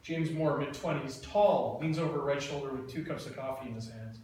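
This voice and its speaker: A man reading a screenplay's stage directions aloud in a plain, even way. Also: mid-range voice, brisk, distant mic. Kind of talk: reading screenplay action lines. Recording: echoey room, mic from afar, faint noise.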